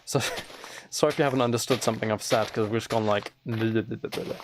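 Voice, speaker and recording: A very tired man chatting casually and quietly on a game livestream, rambling and slightly mumbled in a soft ASMR manner. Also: lowish voice, steady tone, fast talker, noisy background. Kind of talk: casual gaming stream chat, ASMR.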